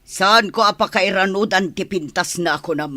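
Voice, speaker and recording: A woman's voice: mid-range voice, moderate pace, sharp tone, no room sound, natural voice. A woman with an Ilocano accent playing a scheming, disapproving middle-aged mother-in-law in a radio drama.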